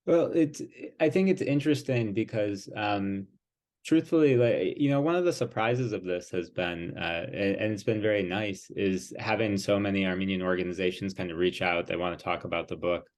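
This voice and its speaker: Deep-voiced guy answering interview questions, thoughtful, lots of pauses and ums. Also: darkish tone. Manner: thoughtful, hesitant, many fillers. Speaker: author and guest. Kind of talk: interview conversation.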